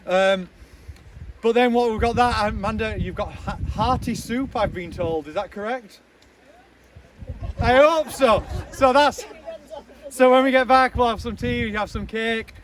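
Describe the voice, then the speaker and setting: A young man giving a loud, chatty speech outdoors at a charity launch, with many ums and joking asides. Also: very high voice, measured pace. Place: outdoors in the snow with a crowd. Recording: noisy outdoor recording.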